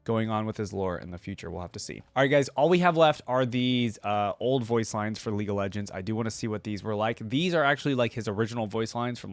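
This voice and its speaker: A man's voice: fairly low pitch, dry recording. A man giving casual, rambling reaction commentary as he hosts, with plenty of filler words. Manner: rambling, hedging, full of "like". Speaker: reaction video host.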